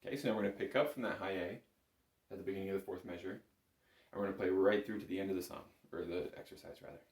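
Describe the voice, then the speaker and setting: A man's voice: sits low, steady tone, very fast, darkish tone, slight background noise. A man giving a step-by-step music lesson as an instructor, patiently counting and calling things out. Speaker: bagpipe instructor. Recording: roomy, echoing room sound.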